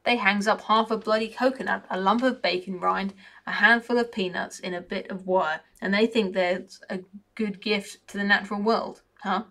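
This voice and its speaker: A woman voicing a cynical, chatty male raven who grumbles in rough, colloquial speech. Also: mid-range voice, fairly even intonation, moderate pace, a bit bright. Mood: grumbling.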